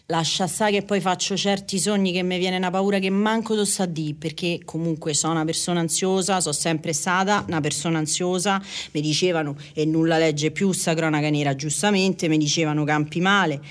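Low-pitched chatty woman with Roman accent. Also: steady tone, a little fast, few pauses. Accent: Roman Italian. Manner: chatty, repeats phrases, acts out dialogue. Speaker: shopkeeper telling personal stories. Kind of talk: rambling casual personal storytelling.

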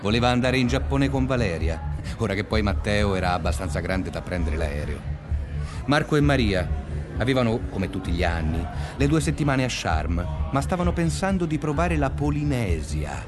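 A man's voice: lowish voice, big pitch swings, normal speed, music in the background. An actor playing an easygoing, aimless man who narrates his summer conversationally in a radio drama. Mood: wry, relaxed. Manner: conversational, musing.

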